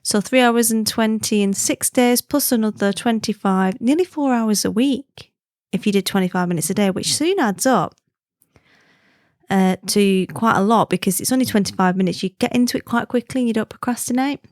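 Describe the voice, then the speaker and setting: A woman's voice: fairly high pitch, normal speed, dry recording, studio quality. A woman from the UK hosting her own podcast, chatting in a friendly, easygoing way and laughing easily. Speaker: podcast host. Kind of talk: casual chatty podcast monologue.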